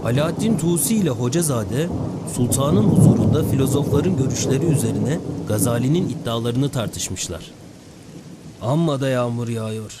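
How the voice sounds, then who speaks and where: An actor playing a gossipy, learned townsman in an animated film, chatting as he shares news. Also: mid-range voice, normal speed, very noisy, lightly acted. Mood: conversational. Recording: loud rain and thunder. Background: rainstorm with thunder.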